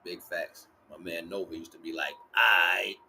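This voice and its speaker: A man's voice: deep voice, fairly even intonation, measured pace, gravelly, pushed and bright, a little hiss or hum. A man giving a casual, freeform spiritual talk as a livestream host, repeating himself and often checking that listeners follow. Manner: repeats himself with frequent conversational check-ins. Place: outdoors.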